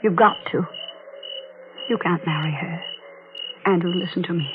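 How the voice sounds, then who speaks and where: A woman's voice: lowish voice, normal speed, phone-quality sound, lightly acted. Bitter, possessive woman played by an actress, clipped melodramatic delivery, very thin noisy vintage radio recording. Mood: desperate, possessive. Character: bitter, possessive jealous older sister, desperately intense. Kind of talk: 1940s radio acting.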